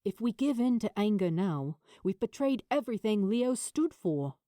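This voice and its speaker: A woman's voice: mid-range voice, very animated, normal speed, warm tone, dry recording, clean studio sound, natural voice. A woman voicing an earnest, driven young man in audiobook dialogue, speaking urgently and with emphasis. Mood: urgent, resolute. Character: earnest, driven young male cartoon creator.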